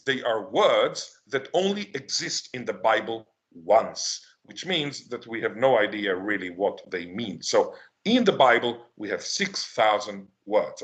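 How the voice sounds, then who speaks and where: A man whose first language is Hebrew, giving an academic lecture, answering his own questions emphatically and slowing down to stress key words. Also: lowish voice, fairly bright tone.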